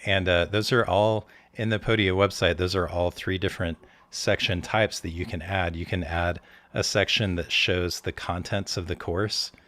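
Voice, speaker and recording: Male host, very low voice, upbeat and explanatory. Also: fairly even intonation, moderate pace, fairly bright tone, no echo. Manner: enthusiastic, explanatory. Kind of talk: casual interview chat.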